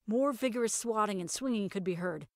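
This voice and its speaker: A woman reading a first-person story as narrator, in a wry, expressive, dramatic way. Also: mid-range voice, brisk, dry recording, clean studio sound. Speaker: narrator of audio fiction.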